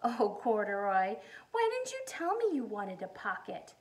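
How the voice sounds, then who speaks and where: A woman's voice: medium pitch, wildly swooping pitch, unhurried. A woman voicing an earnest, affectionate young girl in a storybook, eager and fondly chiding. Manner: eager, fond, gently chiding.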